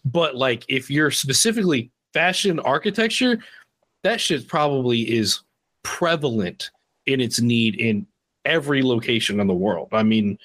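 Man podcaster, mid pitch, loose and rambling, quick to argue. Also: expressive, a bit bright. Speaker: podcast co-host. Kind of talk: casual chat.

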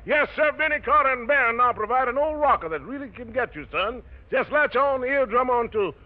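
A man's voice: very high voice, animated, fairly quick, narrow, tinny audio. A man hosting a 1940s radio show with slangy, jokey showman patter on a vintage recording. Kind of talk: 1940s radio jive announcing.

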